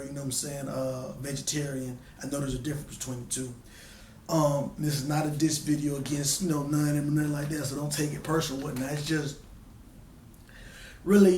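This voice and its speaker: A young man, talking to his viewers in a relaxed, chatty way and peppering his talk with "man". His voice sits a little high. It sounds roomy and a bit distant from the mic. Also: slight background noise. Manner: laid-back, repeats "man" and "you know what I'm saying". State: hoarse. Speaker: vlogger. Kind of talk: casual monologue to camera.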